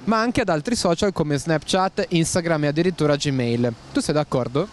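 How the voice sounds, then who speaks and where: A man's voice: fairly high pitch, moderate pace, a little hiss or hum. A man interviewing passers-by outdoors, asking formal questions. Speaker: street interviewer. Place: outdoors on a town street.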